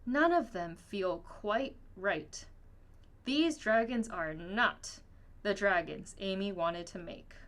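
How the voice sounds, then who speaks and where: A woman reading a children's picture book aloud in an expressive, storytelling manner. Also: mid-range voice, takes it slowly. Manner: expressive, acts out dialogue. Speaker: storybook reader.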